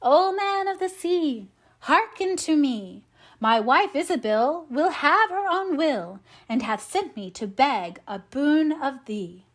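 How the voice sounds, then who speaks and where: Woman reading as a doleful henpecked fisherman, high-pitched. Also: animated, slow. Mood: chanting, pleading. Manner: mournful, pleading.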